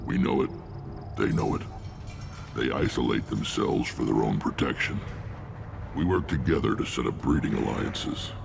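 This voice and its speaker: An actor playing a gruff, battle-worn alien clan leader in a video game, blunt and commanding, with a rumbling, gravelly voice. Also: male voice, moderate pace, long pauses, over music, full character voice. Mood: grave, resolute. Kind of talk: video game character acting.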